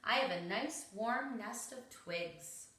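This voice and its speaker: A woman's voice: a little high, slow pace, sharp tone. A woman voicing a kind old female harvest mouse in a storybook, speaking in a kindly way. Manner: kindly, offering. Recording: roomy, echoing home recording.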